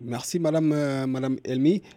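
A man hosting a radio interview show, formal and a little halting. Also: medium pitch, warm tone, no room sound. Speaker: radio host.

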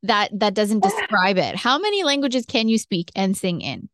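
A woman's voice: mid-range voice, very animated, moderate pace, dry recording, studio quality. A woman hosting a casual interview in an upbeat, complimentary manner. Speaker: interviewer, host.

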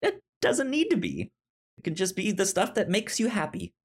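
Animated, rambling man chatting on a podcast. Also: high-pitched, husky. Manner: rambling, stammering, halting restarts. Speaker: podcast host. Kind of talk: casual podcast chat.

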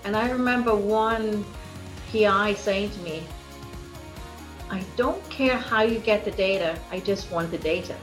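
A woman's voice: medium pitch, unhurried, soft background music, poor audio. A woman with a mixed international accent from Scottish and Norwegian roots, chatting as a guest scientist in a podcast interview, laughing often and using many fillers. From Norway.

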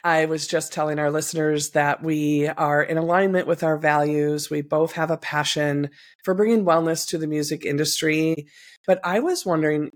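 A woman hosting a podcast who reads a scripted opening and then introduces and questions her guest in an earnest tone. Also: low voice. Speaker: podcast host.

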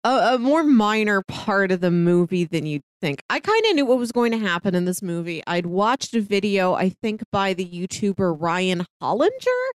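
A woman's voice: mid-range voice, big pitch swings, moderate pace, clean tone, no echo, studio quality. A woman co-hosting a podcast, casually discussing film and recounting things in explanatory detail. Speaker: podcast co-host.

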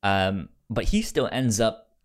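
Male podcast co-host, casual and halting, stammers over words, pitch swings widely. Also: animated. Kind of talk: casual book discussion podcast.

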